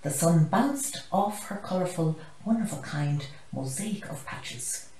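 An Irish woman in her sixties reading a children's picture book aloud in an expressive storytelling style. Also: fairly low pitch, measured pace, slight background noise. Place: small living room, indoors. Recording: roomy, echoey living room.

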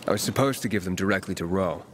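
Man playing a low-voiced, dry game courier. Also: fast, slight background noise, close to an everyday voice. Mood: matter-of-fact. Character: young male courier, dry and matter-of-fact. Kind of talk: video game dialogue. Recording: game music behind.

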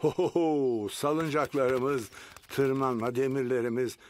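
A man's voice: mid-range voice, steady tone, takes it slowly, lightly acted. An older man voicing a jolly, indulgent grandfather pig in a cartoon, with hearty exclamations. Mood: jolly, reminiscing.

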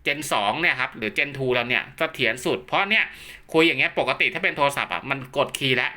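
A man's voice: mid-range voice, animated, fast, sharp tone. A man giving an informal, chatty product demonstration and explanation, talking like a technician who instructs and repeats his points. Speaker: radio equipment technician and seller giving a demo.

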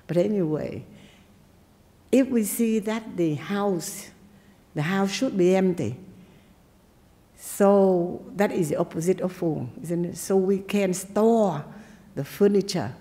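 Low dark-voiced woman, buddhist teacher, simple halting english, lots of hall echo. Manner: simple, halting non-native English with pauses and check-ins. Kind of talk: dharma talk on meditation.

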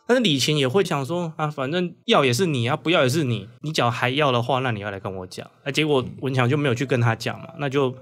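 A man's voice: mid-range voice, fast talker, hardly any pauses, fairly bright tone, faint music behind. A man from Kaohsiung with a Taiwanese Mandarin accent, talking casually as a guest novelist in an interview.

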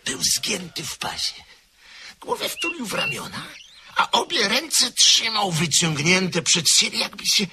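A jittery nervous gentleman. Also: high voice, wildly swooping pitch, measured pace, full character voice. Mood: anxious. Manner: stammering, anxious, breathless retelling. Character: nervous short-sighted gentleman, jittery and fussy. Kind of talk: radio drama acting. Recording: old radio play with faint hiss.

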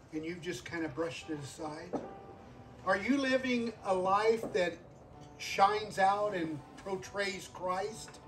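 High-pitched older man preaching, distant sound. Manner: earnest, repeats phrases for emphasis, calls for amens. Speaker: guest preacher. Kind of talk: sermon with altar call.

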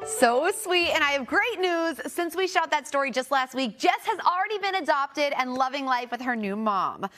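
A woman's voice: sits high, animated, moderate pace, a bit bright, dry recording. A young woman narrating a scripted TV feature in a polished, upbeat broadcast delivery. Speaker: TV reporter and presenter. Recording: music bed behind voice.

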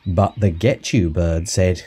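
A man reading a children's audiobook as narrator, in an expressive, measured read-aloud style. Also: low voice, breathy edge. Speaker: storybook narrator.

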